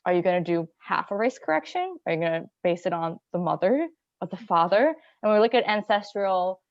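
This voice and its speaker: A woman from Iran joins a journal club discussion over a video call. She thinks out loud and circles her point with lots of fillers. The audio is dry and a little dull. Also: on the low side, animated, brisk, no room sound. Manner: rambling, filler-heavy, thinking aloud.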